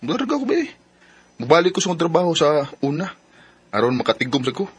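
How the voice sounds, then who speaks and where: An actor playing an earnest young working man in love in a Bisaya radio drama, with a Cebuano accent and an emotive, halting delivery. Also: on the high side, sing-song, slightly rough, no echo, exaggerated voice. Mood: wistful.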